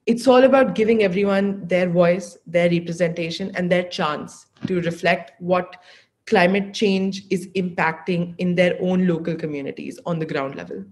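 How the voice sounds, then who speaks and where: A man's voice: sits high, fairly even intonation, moderate pace. Teenage boy giving an earnest speech. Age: teens. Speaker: youth activist, panel speaker. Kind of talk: earnest prepared panel speech. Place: living room indoors, video call.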